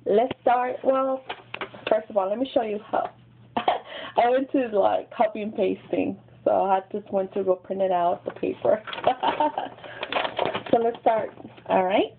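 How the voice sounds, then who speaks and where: A woman's voice: mid-range voice, fairly even intonation, measured pace, creaky, pauses often, background noise. A giggly young woman with a Mexican American accent, chatting casually into a webcam in a small bedroom. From Los Angeles, grew up in Texas. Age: young adult. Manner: giggly, chatty, repeats words. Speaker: vlogger. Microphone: webcam built-in mic. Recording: tinny, lo-fi webcam audio.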